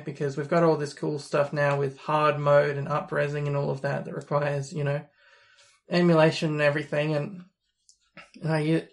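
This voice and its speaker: Creaky, flat posh Australian voice. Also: mid-range voice. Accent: posh-sounding Australian, sometimes taken for British. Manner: rambling and hesitant, many ums and restarts. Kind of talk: casual chat about a video game.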